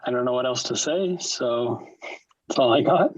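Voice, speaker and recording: A man's voice: medium pitch, moderate pace, breathy edge, no room sound. A shy new committee member, a man, speaking hesitantly on a video call. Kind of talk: casual meeting chat. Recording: dry, dull lo-fi call audio.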